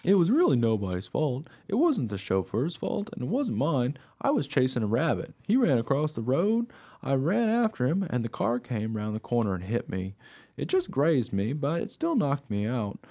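A man's voice: medium pitch, big pitch swings, normal speed, mellow. A man voicing a wry, good-natured mongrel dog who narrates his own story conversationally in a radio play. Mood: matter-of-fact.

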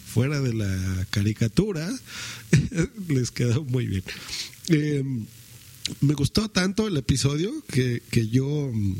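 A man commenting casually as a podcast host, often groping for words, in a husky voice. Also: medium pitch, sing-song, slow pace, a little mellow.

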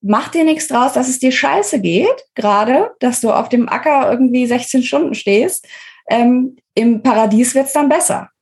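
A woman's voice: high voice, lively intonation, normal speed, darkish tone. A woman, explaining things as an interview guest in an emphatic, colloquial and articulate way. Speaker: guest author interviewed about her book. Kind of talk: conversational podcast interview, explanatory.

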